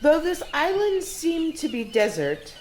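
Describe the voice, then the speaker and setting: A woman's voice: high-pitched, huge pitch swings, unhurried, darkish tone, full character voice. A woman playing a gracious, hopeful noblewoman in a Shakespearean audio drama, earnest and ornate. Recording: faint noise, remote home recording.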